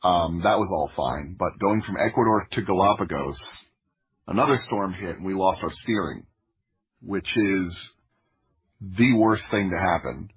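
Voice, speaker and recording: A man with a deep and very husky voice shares personal stories casually. He gropes for words and thinks aloud as he talks. The recording is a little dull and lo-fi. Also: unhurried. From Florida, United States. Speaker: podcast guest, audio drama creator. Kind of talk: casual autobiographical storytelling. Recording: dull, lo-fi audio.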